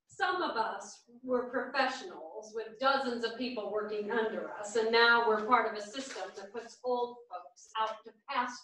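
A woman's voice: a little high, normal speed, few pauses, fairly bright tone, natural voice. A woman playing an effusive, proud older former professional in a staged play reading. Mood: dramatic, apologetic. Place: small theatre stage, indoors. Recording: very echoey theatre, distant microphone.

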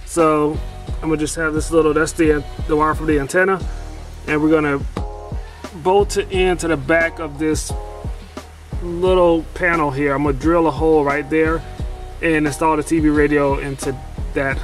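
A man's voice: a little high, level tone, moderate pace, faint music behind. A man narrating as he installs a radio in his truck, talking casually to the camera, rambling and correcting himself with lots of fillers. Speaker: DIY vlogger installing a CB radio. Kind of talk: casual how-to narration while working. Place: inside a pickup truck cab and garage.

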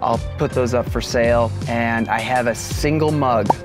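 A man in his thirties casually talking to camera from inside his camper van, relaxed and jokey. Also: medium pitch, normal speed, over music. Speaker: vlogger. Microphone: camera at close range.

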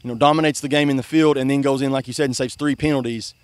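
A young man talks fast and earnestly in a postgame interview, stumbling over restarts and leaning on "I mean". He is soaked from a celebratory river jump and speaks outdoors at night. His voice sits at an ordinary pitch for a man. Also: fairly even intonation, dry recording. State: soaking wet after a river jump. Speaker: high school soccer coach.

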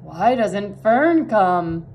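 A woman's voice: medium pitch, animated, extremely slow, lo-fi sound, slight background noise. A woman voicing a bewildered, forlorn young male pig in a children's storybook, speaking plaintively.

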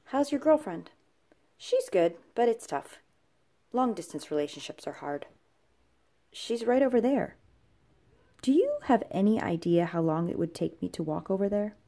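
A woman's voice: on the low side, lively intonation, normal speed, long pauses, warm tone. A woman reading a children's comic aloud, playfully switching tone between the characters. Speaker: read-aloud narrator. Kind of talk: children's comic read-aloud, acting out dialogue.

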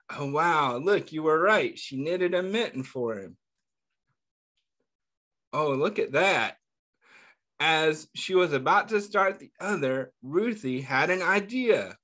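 A man reading a picture book aloud to children, pausing to ask them gentle questions between passages. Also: fairly high pitch. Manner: slow, pausing reading with small slips. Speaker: children's storyteller. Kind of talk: read-aloud storytime.

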